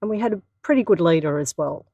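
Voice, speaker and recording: A woman's voice: fairly low pitch, lively intonation, quick pace, gravelly, dark tone, dry recording, dull audio. A woman from Melbourne, speaking with upbeat enthusiasm. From Melbourne, Australia.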